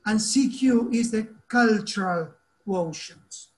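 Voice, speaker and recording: High-voiced Argentinian man teaching by video call. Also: slow pace. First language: Spanish. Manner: earnest and animated, asks the group questions. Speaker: teacher trainer hosting an online meeting. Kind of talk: casual talk to a video-call group.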